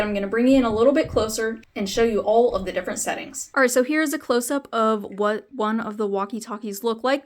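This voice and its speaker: A woman reviewing a product, explaining it step by step in a hands-on narration to camera. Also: a little high.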